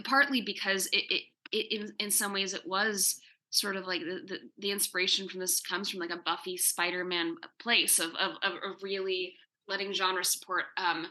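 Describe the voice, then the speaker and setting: A woman with a strikingly bright, forward voice talks as a guest writer on a panel. She thinks aloud, stopping and doubling back on words as she builds each idea. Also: mid-range voice. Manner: halting, repeats words while forming thoughts. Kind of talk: thoughtful panel discussion.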